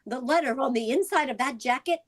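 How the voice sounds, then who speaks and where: High-voiced, anxious, pleading wife played by a woman. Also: a little fast. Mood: urgent pleading. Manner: urgent, breathless pleading. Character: anxious, pleading, insistent wife hunting for answers. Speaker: actor in radio drama. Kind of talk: vintage radio drama acting.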